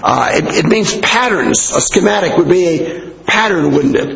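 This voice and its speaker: A middle-aged American man preaching a sermon in an animated, conversational way. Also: high voice, moderate pace, gravelly, a little hiss or hum. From United States. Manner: animated, conversational, acting out asides to listeners. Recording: echoey hall with long reverb, muffled.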